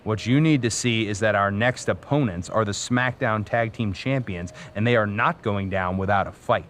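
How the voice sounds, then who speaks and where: An actor playing a competitive, self-assured wrestler with an assertive, earnest manner in a video game cutscene. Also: male voice, fairly low pitch, normal speed, a bit bright, slight background noise, close to an everyday voice. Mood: irritated.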